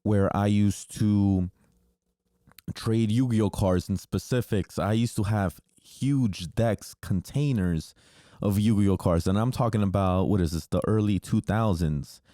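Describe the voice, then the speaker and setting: A podcast host in his twenties, a native Spanish speaker, talking alone in a relaxed, candid way, dropping ums and the odd swear word. His voice sits low and sounds smooth and resonant. The recording is dry and close, with no room sound. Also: measured pace, no echo. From New Jersey, United States. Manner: loose and candid, frequent ums, casual swearing. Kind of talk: casual solo storytelling.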